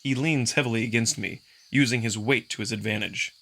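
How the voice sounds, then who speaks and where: A man narrates a visual novel in the first person. His reading is dramatic. The voice has a gravelly grain and a forceful, bright edge. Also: mid-range voice, fairly quick. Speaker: narrator and voice performer.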